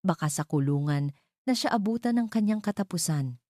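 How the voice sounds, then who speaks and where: A woman's voice: fairly low pitch, expressive, moderate pace, dark tone, dry recording, studio quality, lightly acted. A woman voicing a cold, controlling, wealthy older mother in an audio drama love story, calculating and commanding. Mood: cold threat.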